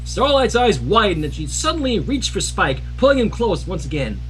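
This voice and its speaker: A man's voice: sits high, big pitch swings, moderate pace, soft background music. A man narrating a fan story on a livestream, with casual asides. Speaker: fan fiction reader on livestream.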